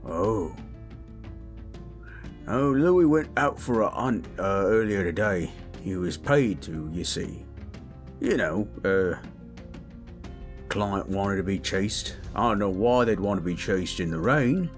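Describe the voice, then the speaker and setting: A big, friendly orc acted out by a man talks low and takes his time. He is gentle and chatty, rambling in a folksy, rustic way as he comforts the listener in a fantasy roleplay audio. Faint music plays behind him. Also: expressive, takes it slowly, pauses often. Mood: chatty, musing. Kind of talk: soothing character roleplay audio addressed to listener.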